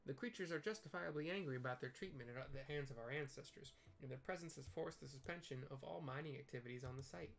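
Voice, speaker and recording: A man's voice: medium pitch, fast, gravelly, few pauses, fairly bright tone. A man voicing a courtly old former royal guard of a tiny fantasy folk, speaking with formal, archaic courtesy in a video game. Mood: grave, explanatory.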